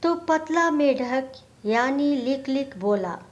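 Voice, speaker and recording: A woman's voice: sits high, lively intonation, takes it slowly, muffled recording. A woman narrating a scripted moral story for children.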